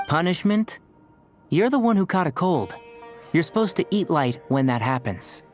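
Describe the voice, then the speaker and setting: A man's voice: a little high, big pitch swings, normal speed, faint music behind. A man voicing a young, sensible forest ranger doctor who speaks in a dry, wry way in a game cutscene.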